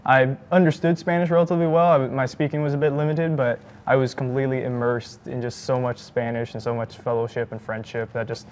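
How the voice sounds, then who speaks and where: A teenage boy, a high school student being interviewed, who hedges a lot with "I mean" and "you know.". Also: little pitch movement, a little mellow. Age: teens. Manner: rapid, hedging, lots of "I mean" and "you know".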